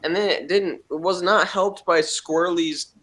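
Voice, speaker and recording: A man's voice: sits high, fairly even intonation, normal speed, bright, forceful tone. A man chatting informally about a TV show as a podcast panelist. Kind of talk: casual chat about a TV show.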